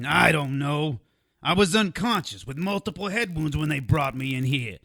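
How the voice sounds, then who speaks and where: A man's voice: on the high side, animated, normal speed, creaky, fairly bright tone, heavily acted. An actor playing a cranky, put-upon male sidekick in a comedic audio drama, mouthy and full of exasperated complaints. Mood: evasive, grumbling.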